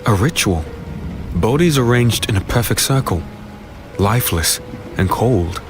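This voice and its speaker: A man acting as the brooding first-person narrator of a dark fantasy tale, solemn and descriptive. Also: on the low side, lively intonation, a little slow, over music. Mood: ominous. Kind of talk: scripted dramatic narration.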